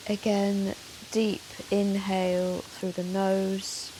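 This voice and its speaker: A woman yoga teacher leading a guided meditation in a soothing, measured, gentle manner with long pauses. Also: medium pitch, flat delivery, rough recording. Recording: soft hiss of rain noise. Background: soft rain sound.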